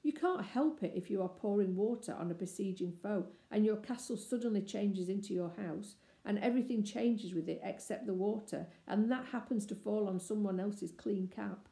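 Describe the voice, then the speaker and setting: A woman narrates a children's story expressively. Her voice is mid-pitched and very mellow, recorded with a clear roomy echo. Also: moderate pace, hardly any pauses. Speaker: storybook reader. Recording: echoey, roomy sound.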